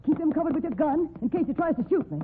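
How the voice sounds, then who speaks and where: High-pitched country cowgirl, tinny recording. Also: lively intonation, fast. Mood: urgent, alarmed. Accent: American. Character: plucky, devoted young cowgirl with rough country grammar. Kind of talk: old-time radio drama acting. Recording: noisy, tinny old radio recording.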